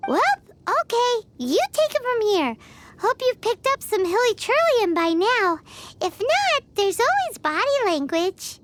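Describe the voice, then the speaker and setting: A woman voicing a tiny, blunt, excitable fairy companion in a video game. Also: a little slow, heavily acted. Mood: chatty. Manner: blunt, squeaky, exclamatory. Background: game music.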